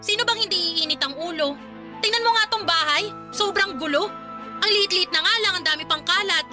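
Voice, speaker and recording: A woman playing an irritable, sharp-tongued young wife and mother in a Filipino radio drama, snappy and nagging. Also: extremely high pitch, a little fast. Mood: irritated, snappy. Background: faint music.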